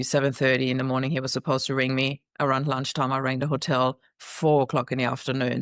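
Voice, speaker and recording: Gravelly woman, dry sound. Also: a bass voice, no echo. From Austria. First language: German. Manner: earnest, recounting in vivid detail. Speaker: guest. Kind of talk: personal storytelling in an interview.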